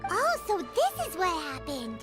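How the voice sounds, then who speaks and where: Tiny chirpy sprite, very high, bouncy pitch, music behind. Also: female voice, huge pitch swings, a little slow, heavily acted. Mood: puzzled. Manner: chirpy, refers to herself by name. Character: tiny female floating companion sprite, curious. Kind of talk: game cutscene dialogue. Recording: game score under dialogue. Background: background music.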